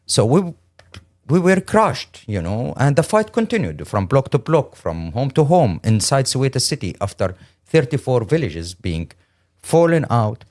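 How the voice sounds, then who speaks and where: A middle-aged man from Syria with a Syrian Arabic accent, speaking English as a second language in an earnest, halting, emphatic interview account. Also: medium pitch, big pitch swings, a little mellow. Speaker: interview guest, lawyer and humanitarian.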